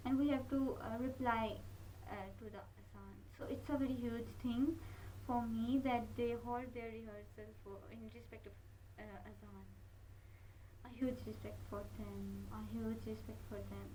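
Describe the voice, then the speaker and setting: A woman's voice: a little high, steady tone, moderate pace, dark tone, recorded from a distance, a little hiss or hum, poor audio. A woman hosting a reaction video, talking casually and earnestly with many fillers and restarts. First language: not Korean.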